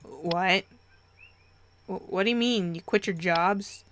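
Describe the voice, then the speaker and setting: A woman's voice: on the low side, slow pace, a bit bright, a little hiss or hum. A woman voicing a bewildered, hurt adopted teenager who questions the parents pleadingly in a story. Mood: confused.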